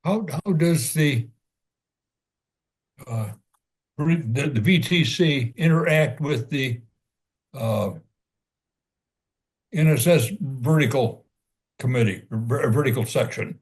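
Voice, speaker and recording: A man's voice: medium pitch, slow, long pauses. A man attending a webinar asks a question hesitantly, stumbling over his restarts.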